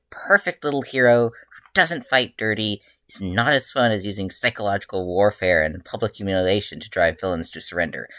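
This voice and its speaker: A bored, mischievous grown-up male hero , put on by a story reader, airing grievances with theatrical emphasis and drawn-out words. He sounds exasperated and a little unhinged, in a dry audiobook-style reading. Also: medium pitch, fairly bright tone, no room sound, close to an everyday voice.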